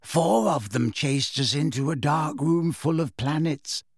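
A man voicing a calm, dreamy teenage girl in a storybook, with a soft, wistful, airy manner. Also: a little high, measured pace, dry recording, studio quality. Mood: hushed, dreamy.

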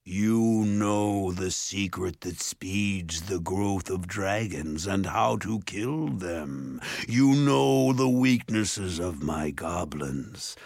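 A man voicing a huge, menacing ogre in a storybook, with a booming growl and slow, grandiose delivery. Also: expressive, a little mellow, dry recording, studio quality, heavily acted. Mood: cold menace. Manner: slow, drawn-out, grandiose threats. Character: huge menacing male ogre, boastful, soothing yet cruel.

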